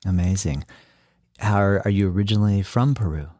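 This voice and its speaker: A man's voice: low-pitched, brisk, warm tone, no echo, clean studio sound. A man hosting a podcast interview, enthusiastic and full of filler words. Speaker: podcast host.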